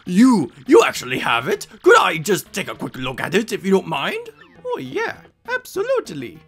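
A man voicing a scholarly, fussy, excitable old professor in a game, speaking in formal, old-fashioned phrases. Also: high voice, normal speed, music in the background, pitched above the performer's own voice, heavily acted. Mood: thrilled, astonished.

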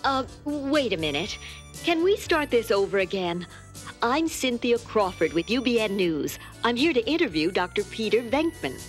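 An actress playing a brisk young TV news reporter in a cartoon, businesslike and easily exasperated. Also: female voice, fairly high pitch, very animated, faint music behind. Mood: polite. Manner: polite but insistent, businesslike. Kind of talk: cartoon voice acting.

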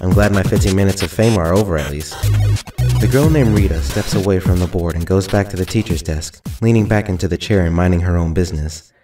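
Guy as a grumpy introvert new kid narrating a game, deep and dry, kinda far off. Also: brisk, natural voice. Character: grumbling, introverted male new student narrator. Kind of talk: first-person visual novel narration. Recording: distant voice under loud music.

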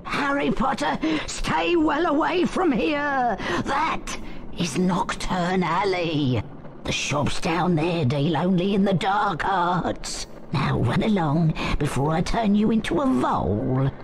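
A woman playing a menacing old witch in a video game, taunting with a gravelly voice and drawn-out threats. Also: medium pitch, lively intonation, slight background noise. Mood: menacing warning. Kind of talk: video game character acting.

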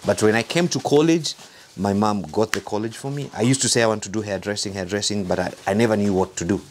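Middle-aged Kenyan male interviewee, lowish voice, earnest with restarts, lapel mic. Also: fast talker, slight background noise. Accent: Kenyan English. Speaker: interviewee, salon owner and manufacturer. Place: factory and salon, indoors.

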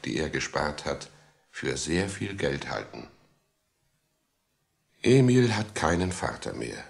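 A storyteller in a children's radio play. His voice is extremely low, with a creaky, gravelly grain. He speaks directly to young listeners, measured, wry and sympathetic. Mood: wry, explaining. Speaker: narrator.

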